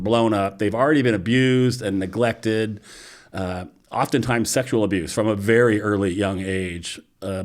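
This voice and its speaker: Measured middle-aged man, serious tone. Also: fairly low pitch. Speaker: nonprofit executive director, guest. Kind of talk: interview. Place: studio with green curtain. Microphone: studio mic on boom arm.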